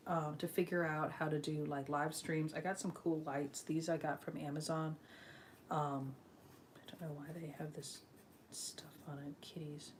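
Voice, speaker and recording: Chatty low-voiced woman on a live stream. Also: darkish tone, a little hiss or hum. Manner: rambling, with frequent ums and restarts. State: sniffly from allergies. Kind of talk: casual live stream chat.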